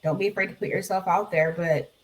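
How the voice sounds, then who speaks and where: A woman's voice: fairly low pitch, fairly even intonation, fast talker, slight background noise. A woman guesting on a video call interview, answering in an easygoing, agreeable way.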